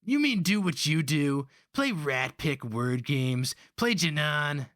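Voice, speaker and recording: Man voicing a high, defiant barbarian boy. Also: expressive, takes it slowly, a bit bright, no room sound, studio quality. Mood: indignant. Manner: indignant, blunt. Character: thirteen-year-old barbarian boy, angry and defiant. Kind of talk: dramatic fantasy audiobook reading.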